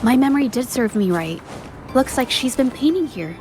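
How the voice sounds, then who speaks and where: An actress voicing an earnest young female painter, gentle and reflective as she investigates. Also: fairly high pitch, expressive, moderate pace, over music. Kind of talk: video game voice acting.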